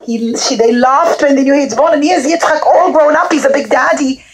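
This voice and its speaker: Female children's storyteller with a high voice, simple phrasing, direct address to young listeners. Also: brisk, crowd noise. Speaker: children's puppet show storyteller.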